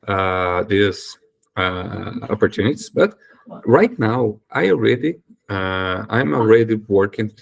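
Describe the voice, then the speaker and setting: A young man from Brazil talks straight to his viewers in English, his Brazilian Portuguese accent clear in every phrase. His voice sits fairly low and has a gravelly edge. He pauses, fills gaps and corrects himself as he goes. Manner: hesitant, many fillers and self-corrections. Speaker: YouTuber and English learner. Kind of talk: casual solo talk to camera.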